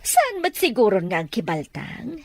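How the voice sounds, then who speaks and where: A woman's voice: fairly high pitch, wildly swooping pitch, normal speed, a little mellow, exaggerated voice. An actress playing a spiteful, domineering older mother who schemes, in an Ilocano radio drama. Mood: plotting.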